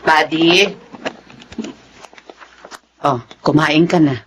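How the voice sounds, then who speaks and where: Casting: strict, loving Filipino mother, middle-aged, slightly low register, emotional radio-drama delivery. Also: fairly even intonation, extremely slow, loud music behind the voice. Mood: gentle, remorseful.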